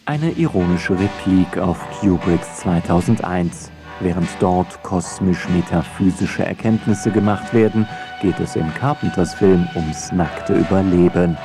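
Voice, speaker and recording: Low-voiced, wry male narrator. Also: a little slow, music in the background. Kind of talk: scripted radio feature narration.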